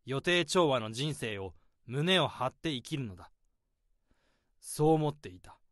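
A man in his thirties narrating a radio drama in the first person, in a slow, subdued, deadpan way. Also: medium pitch, no echo, studio quality. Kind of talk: radio drama inner monologue.

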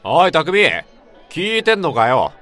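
A man acting in a radio drama as an excitable, sentimental old friend, chatting in an emphatic, colloquial way. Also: a little high, measured pace, faint music behind, some chatter behind. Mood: prodding. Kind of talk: radio drama dialogue, casual banter.

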